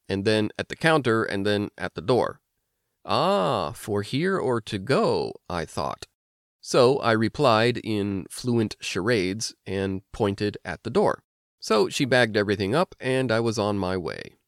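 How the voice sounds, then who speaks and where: An American man hosting an instructional language podcast, explaining things clearly like a teacher. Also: mid-range voice, no room sound, studio quality. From United States. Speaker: language lesson host and teacher. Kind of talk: instructional podcast narration with personal anecdotes.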